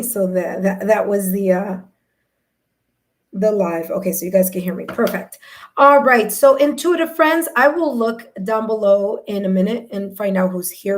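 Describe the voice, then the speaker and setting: A woman hosting a live tarot reading stream, slightly hoarse, chatty and repetitive, checking in with "okay?" as she talks to viewers. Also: medium pitch. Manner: chatty, repeats herself, tags sentences with "okay?".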